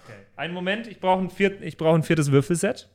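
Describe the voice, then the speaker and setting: A man's voice: high voice, fast talker, a little mellow. A man talking casually as a player in a tabletop role-playing game.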